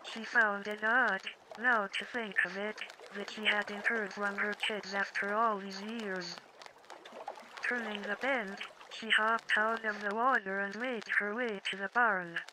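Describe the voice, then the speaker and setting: A woman's voice: mid-range voice, steady tone, a little slow, noisy background. A woman reading scripted storybook narration as the narrator of a tale.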